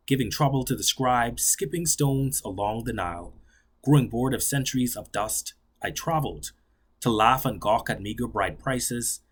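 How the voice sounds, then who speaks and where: A young man from the Bahamas, reading his own poem aloud in a measured, solemn way that slows and sinks on the closing lines. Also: mid-range voice, breathy edge. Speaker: graduate student poet. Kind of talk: spoken-word poetry reading.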